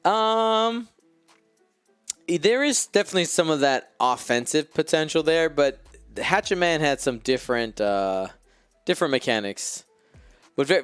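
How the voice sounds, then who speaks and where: Male stream co-host, smooth clean voice, chatty game commentary, playful banter, faint music behind. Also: on the high side, a bit bright, no echo. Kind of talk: live commentary on a tabletop miniatures game. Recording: close dry mic with faint music.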